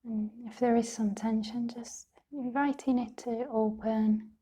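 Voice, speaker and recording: A woman leading a guided meditation in a soft, breathy, half-whispered voice, speaking gently and soothingly in short phrases with long pauses. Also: on the high side, fairly even intonation. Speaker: meditation teacher.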